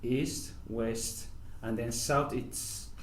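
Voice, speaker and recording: Slow-talking Nigerian man in an echoey room. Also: medium pitch, steady tone. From northern Nigeria. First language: Hausa. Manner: unhurried, halting, with sentences that trail off softly. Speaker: podcast guest, professional footballer. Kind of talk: casual interview conversation. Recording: echoey room, faint background noise.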